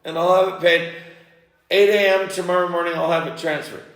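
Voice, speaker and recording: Male, higher-pitched with a gravelly edge, confrontational and insistent, one side of a heated phone argument in an echoey room. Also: steady tone, brisk, a bit bright. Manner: confrontational, insistent, repeating himself. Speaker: parent on a phone call.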